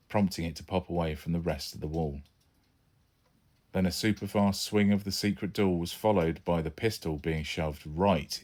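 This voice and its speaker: A man's voice: deep voice, a little mellow. A man reading his own children's adventure story as an audiobook, acting out the characters as he goes. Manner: storytelling, voices each character. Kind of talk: scripted audiobook narration.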